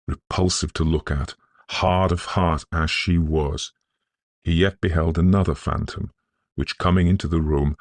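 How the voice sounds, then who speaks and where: Male narrator for Victorian supernatural fiction: bottom-of-the-range bass, husky grain, scholarly and atmospheric, deliberate phrasing. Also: no room sound. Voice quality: gravelly, husky. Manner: scholarly, measured, atmospheric delivery. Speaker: narrator of a Victorian ghost story. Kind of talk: scripted dramatic audiobook narration.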